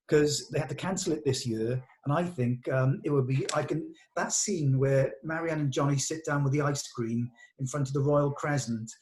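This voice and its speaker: A man's voice: medium pitch, a little mellow, poor audio. A man talking casually and encouragingly, like a director leading a production meeting. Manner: enthusiastic, encouraging. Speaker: director leading a script read-through.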